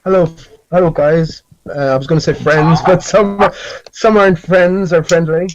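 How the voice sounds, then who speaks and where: A man with a slightly raised pitch speaks as a caller in a group chat. His manner is casual and insistent, and the sound is dull and lo-fi like an internet call. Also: steady tone, normal speed. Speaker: caller joining an online group debate. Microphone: online voice call.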